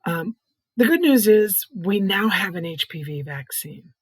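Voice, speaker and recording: A middle-aged or older woman with a soft, hoarse, breathy voice, giving an earnest personal testimony in plain, explanatory words. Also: mid-range voice, lively intonation, unhurried, dry recording, studio quality. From Iowa, United States. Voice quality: soft, hoarse, breathy voice from vocal cord growths. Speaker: patient advocate, child care nurse consultant.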